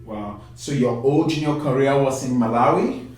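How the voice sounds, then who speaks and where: A man's voice: mid-range voice, moderate pace, a little mellow, soft background music. Echoey male interview host, far from mic. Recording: very echoey room, distant mic.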